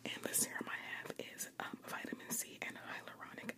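A woman playing a quiet, doting best friend with a secret obsession, whispering hesitantly in an ASMR roleplay. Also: fairly quick, close to an everyday voice. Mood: gentle, attentive. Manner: soft, hesitant, doting, repeats phrases gently.

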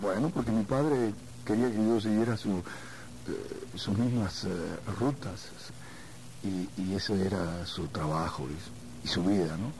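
A mature man from Argentina reminiscing in a conversational interview, haltingly, repeating words as he searches for the next. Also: fairly low pitch, rough recording. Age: middle-aged or older. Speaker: singer being interviewed.

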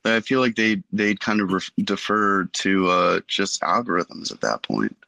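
Man, very low, gravelly, hesitant, musing, casual podcast talk. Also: unhurried, bright, forceful tone, no echo. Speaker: podcast co-host. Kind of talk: casual chat.

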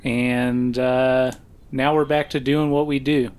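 A man hosting a casual podcast, relaxed and dry, teasing his co-host now and then. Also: medium pitch, slow, clear, resonant tone.

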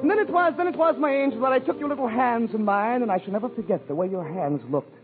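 A man's voice: very high-pitched, quick pace. Man putting on swooning melodramatic suitor, tinny radio. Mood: gushing, rapturous. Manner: gushing, mock-poetic, florid. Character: overwrought melodramatic male suitor in mock love speech. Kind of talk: 1940s radio comedy monologue. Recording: tinny vintage radio recording with music.

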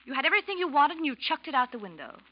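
A woman's voice: high voice, very animated, very fast. A woman playing a cold, jealous, domineering sister in 1940s radio melodrama, with a sardonic, cutting manner. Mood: taunting. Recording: dull vintage radio recording.

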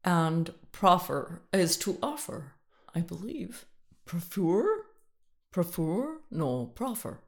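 A woman's voice: on the low side, sing-song, slow. A woman co-hosting a comedy podcast, playful and whimsical, rambling through improvised bits.

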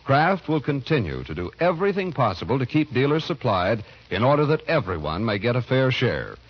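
A man's voice: mid-range voice, big pitch swings, a little fast, husky. A man working as a radio announcer, delivering scripted narration and advertising copy in a 1940s style. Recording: muffled vintage radio broadcast.

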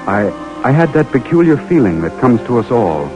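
An actor with an American accent playing an earnest, weary imprisoned army lieutenant in an old-time radio drama, speaking haltingly and intimately. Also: male voice, medium pitch, big pitch swings, dull audio, lightly acted. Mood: weary, reflective. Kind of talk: 1940s radio drama acting, letter narration. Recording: vintage radio broadcast with music.

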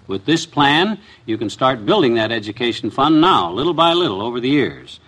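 An actor playing a blustering, folksy middle-aged husband in a 1950s radio comedy. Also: male voice, medium pitch, sing-song, moderate pace, fairly bright tone, breathy edge, poor audio. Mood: earnest. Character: excitable, forgetful middle-aged husband with a big appetite.

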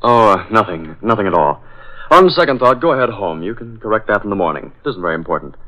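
An American actor playing a decisive boss and secret masked crime-fighter, crisp, deliberate and melodramatic in 1940s radio style. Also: male voice, lowish voice, animated, sharp tone. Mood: businesslike. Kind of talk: 1940s radio drama acting. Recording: dull, band-limited old radio recording.

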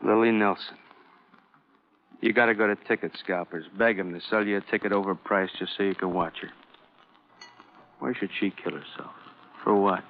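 A male actor plays a weary policeman with a very low voice on a muffled, narrow vintage radio recording. He talks in clipped, halting fragments, wistful and a little awestruck, in classic radio crime drama style. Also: fairly even intonation, a little hiss or hum, close to an everyday voice. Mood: hushed, wistful. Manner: halting, clipped fragments, repeatedly addressing partner. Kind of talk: old-time radio crime drama acting.

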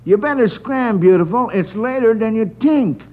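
A brash radio gangster played by a man, high and swinging in pitch, threatening in slangy wisecracks. It has the thin sound of an old narrow-band broadcast. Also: lively intonation, normal speed. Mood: urgent, brusque. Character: slangy wisecracking male gangster tough guy.

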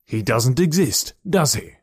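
A man's voice: mid-range voice, animated, a little slow, breathy edge. A man doing a fed-up demanding father. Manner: demanding, loud. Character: frustrated rational scientist father.

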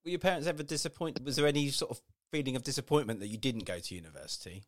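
A man's voice: mid-range voice, rapid-fire, no echo, clean studio sound. A man hosting a casual interview, asking questions and encouraging with short replies. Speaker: podcast host.

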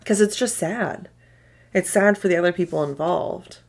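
Low, gravelly-voiced woman podcast co-host. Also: moderate pace, a crowd in the background. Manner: chatty, swears casually, trails off mid-sentence. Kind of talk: casual chat.

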